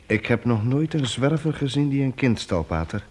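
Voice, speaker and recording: A man's voice: medium pitch, lively intonation, normal speed, a little mellow, slightly rough. An actor playing a modest, earnest young wandering journeyman in a radio play.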